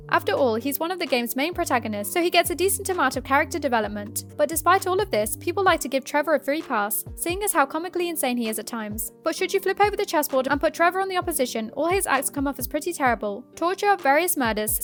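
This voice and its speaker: A woman's voice: sits high, fairly bright tone. A woman reading scripted narration for a countdown video, as the narrator.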